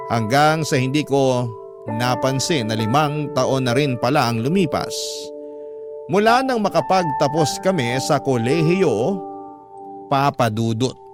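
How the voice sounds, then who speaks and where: A man's voice: mid-range voice, close to an everyday voice. An actor playing a sentimental young man who narrates his love story for a radio drama in an earnest, confiding way. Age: young adult.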